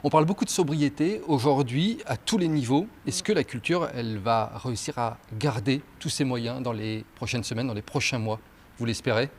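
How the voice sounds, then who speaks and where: A man's voice: mid-range voice, a little fast, slight background noise. A man asking a minister questions in a courteous, formal TV interview, with some hesitations.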